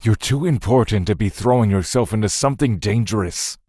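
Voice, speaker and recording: Man playing a grave, deep-voiced stern god of death. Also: a little fast, dark tone, dry recording, studio quality, natural voice. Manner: stiff, curt, commanding. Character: stern, dutiful god of death, elder-seeming brother. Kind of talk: dramatic fantasy audio drama acting.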